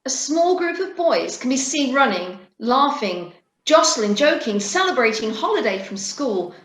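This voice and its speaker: A woman narrating a scripted story in a deliberate storytelling manner. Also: high voice, sing-song, a bit bright. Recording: roomy echo.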